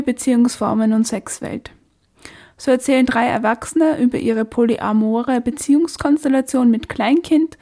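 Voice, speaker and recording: Woman presenter with a higher, soft-toned voice. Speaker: radio presenter. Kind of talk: scripted radio narration.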